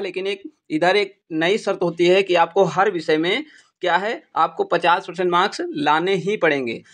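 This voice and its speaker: High-pitched man explaining to students. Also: fairly bright tone. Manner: repeats points for emphasis. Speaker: exam-prep YouTube teacher. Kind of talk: informal explainer addressing students.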